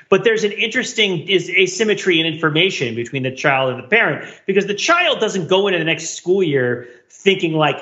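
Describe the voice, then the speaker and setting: A man hosting a casual panel podcast, rambling in an animated way and repeating phrases as he thinks aloud. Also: a little high, muffled recording. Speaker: podcast host.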